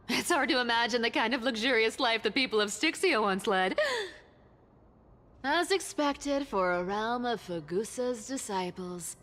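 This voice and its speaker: Here is a sly, treasure-loving thief boss from a video game. Her high voice swoops with teasing, theatrical flair and takes its time, ringing in a long hall reverb. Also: fairly bright tone. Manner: teasing, theatrical, drawn-out delivery. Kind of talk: video game character acting.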